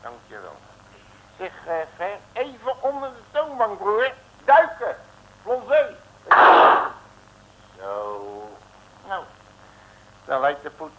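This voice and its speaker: An actor playing a brash, cheeky detective with colloquial, slangy bluster in a 1960s radio comedy. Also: male voice, high voice, wildly swooping pitch, slow, pauses often, heavily acted. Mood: brash, impatient. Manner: colloquial slangy bluster, calls himself uncle. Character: brash, cheeky, big-spending male detective, streetwise and jovial. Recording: noisy old 1960s radio recording.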